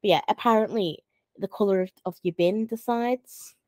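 Young Liverpool woman, relaxed self-deprecating co-host, warm low-key voice. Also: normal speed, no room sound. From Merseyside, England. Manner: chatty, self-deprecating storyteller. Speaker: podcast co-host. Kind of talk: casual chat. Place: small wood-panelled room, on a sofa. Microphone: desk mic on boom arm.

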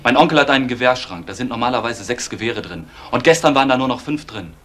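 An actor playing an earnest, stubborn young man who worries about his missing uncle in a German radio crime drama, speaking haltingly. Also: mid-range voice, lively intonation, a bit bright, close to an everyday voice. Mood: earnest, insistent. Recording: clearly noisy old radio play recording.

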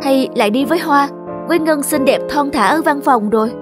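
A woman voicing an insecure, self-critical young bride-to-be who frets about her weight, in animated cartoon dialogue. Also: high-pitched, brisk, lightly acted. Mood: glum. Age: young adult. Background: music.

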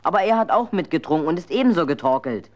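A male actor playing a mischievous, excitable schoolboy in a children's radio play. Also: fairly high pitch, fast. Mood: flustered, defensive.

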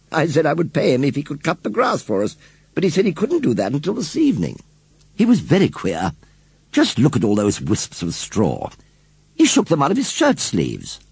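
A man doing a brisk, chattering vicar's wife. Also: on the high side, wildly swooping pitch, vocal fry, a little mellow, slightly rough, no echo, voice pushed up, exaggerated voice.

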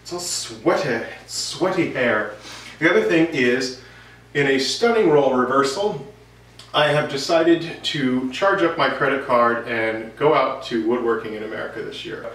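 A man's voice: mid-range voice, a little slow, fairly bright tone. A man in his thirties giving a casual, rambling update to camera as the host of a woodworking vlog. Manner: rambling, conversational. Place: home workshop, indoors. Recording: roomy, distant, noisy workshop recording.

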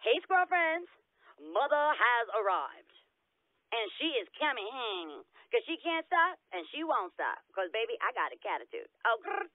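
A woman's voice: fairly high pitch, sing-song, unhurried, no echo. Woman doing a catty drag queen diva, flamboyant, swoopy, drags words out, phone quality. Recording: tinny lo-fi telephone line.

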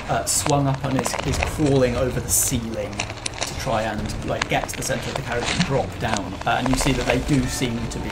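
A man's voice: mid-range voice, heavy background noise. A man narrating as game master in a tabletop roleplay, descriptive and chatty with frequent fillers.

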